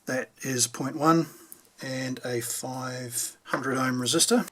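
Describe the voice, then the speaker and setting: A man's voice: medium pitch, slow pace, a bit bright, a little hiss or hum. A man giving casual hands-on repair commentary, thinking aloud as he works. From New Zealand. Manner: unscripted, thinking aloud while working. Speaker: hobbyist radio repairer presenting a how-to video.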